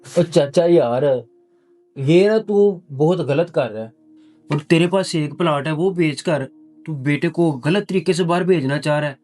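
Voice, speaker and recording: A frank, concerned younger neighbour, played by a man, speaks in a fairly high voice. He is earnest and admonishing, in the manner of a moral drama. Light music sits under the dialogue. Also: measured pace, a little mellow, lightly acted. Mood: earnest warning. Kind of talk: dramatised moral story acting.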